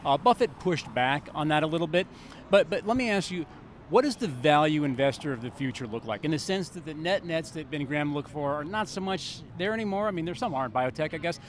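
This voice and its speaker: An older man interviewing a guest about investing, with a brisk manner, holding a handheld mic on a city sidewalk. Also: a little high, expressive. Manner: brisk, quick acknowledgments. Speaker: interviewer, chief investment officer. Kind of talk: street interview questions. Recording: outdoor street recording with faint traffic.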